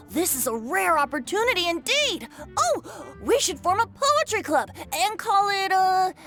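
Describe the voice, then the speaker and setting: Lilting, boyish cheerful bard. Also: female voice, very high voice, sing-song, unhurried, a bit bright, soft background music, full character voice. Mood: delighted. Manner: breezy, playful, flowery. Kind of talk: video game cutscene acting.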